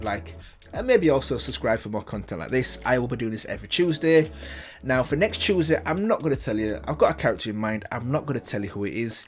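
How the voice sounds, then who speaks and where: Male reaction host, mid-pitched, enthusiastic and rambling. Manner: enthusiastic, rambling, with frequent restarts. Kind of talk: casual reaction commentary.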